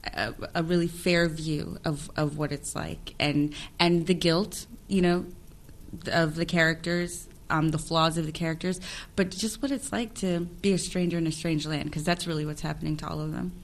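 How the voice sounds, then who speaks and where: An actress chats through an interview in a low, even voice. She thinks aloud, circles back to restart her sentences and laughs easily. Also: moderate pace. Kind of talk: casual interview chat.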